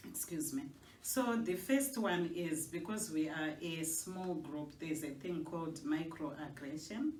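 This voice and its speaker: Older woman counsellor from Zimbabwe, low and measured, roomy studio with a distant mic. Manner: measured, earnest, many soft "mm" affirmations. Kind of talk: interview guest, explaining calmly. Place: radio studio.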